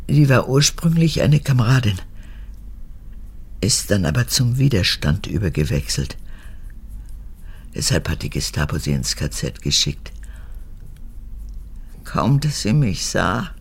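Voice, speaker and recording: An actress in a radio drama playing a cold, proud old mother and unrepentant former prison guard, haughty and emphatic, with halting phrases. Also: extremely low pitch, big pitch swings, slightly rough, exaggerated voice. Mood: cold, reminiscing. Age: older. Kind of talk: German radio drama acting.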